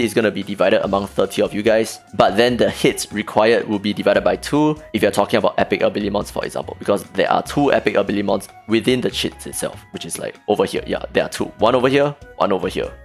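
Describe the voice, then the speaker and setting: A young man with a hoarse voice that has nearly gone, sitting at a desk mic in his room. His voice is gravelly and sits fairly low. He talks casually through spreadsheet numbers, with faint music playing behind him. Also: fast, hardly any pauses. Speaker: gaming channel host. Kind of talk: casual game strategy walkthrough.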